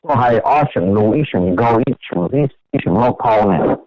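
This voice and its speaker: A man's voice: on the low side, expressive, moderate pace, no echo. A man speaking conversationally as a participant on a telephone conference call. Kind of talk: conversational speech on a group call. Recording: dull, lo-fi telephone conference line.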